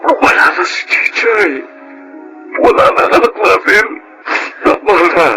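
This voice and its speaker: A bereaved young Filipino father in a radio drama. His voice is creaky and swings widely in pitch, weary yet pleading and full of emotion. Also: big pitch swings, a little slow, bright, forceful tone, faint music behind, exaggerated voice, rough recording. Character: grieving young father, weary but trying to accept loss.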